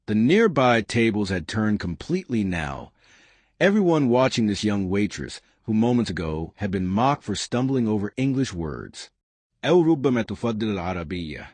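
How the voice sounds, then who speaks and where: A male narrator telling a dramatic story with lively storytelling delivery. His voice sits on the low side and moves widely in pitch, recorded close and completely dry. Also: moderate pace, dry recording.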